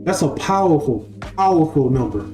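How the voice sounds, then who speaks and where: A man's voice: fairly high pitch, expressive, normal speed. Young man musing in an echoey room. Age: 20s. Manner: repeats phrases, asks "right?". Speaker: spiritual live-stream host. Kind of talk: casual spiritual monologue. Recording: echoey room with faint music.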